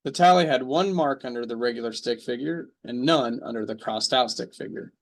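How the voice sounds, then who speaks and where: A man narrating a radio drama table read at an even, measured storytelling pace. His voice sits in an ordinary middle range. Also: medium pitch.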